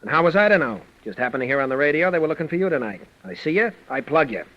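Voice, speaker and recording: A man's voice: on the high side, big pitch swings, very fast. An actor playing a cocky, menacing gunman in a 1940s radio crime drama, with slangy, taunting tough-guy delivery. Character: cocky, menacing gunman and schemer, adult man. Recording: muffled vintage radio recording.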